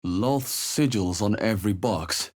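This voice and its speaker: An actor playing a wry, watchful adventurer hero in a fantasy video game, with a husky voice and a dry, theatrical delivery. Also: male voice, lowish voice, unhurried, darkish tone, natural voice. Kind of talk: fantasy game character voice lines, short exclamations and remarks.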